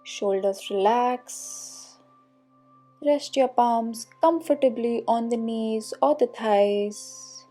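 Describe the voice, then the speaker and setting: A woman leading a guided meditation, calmly and soothingly, giving unhurried instructions with long pauses. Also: a little high. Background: soft meditation music.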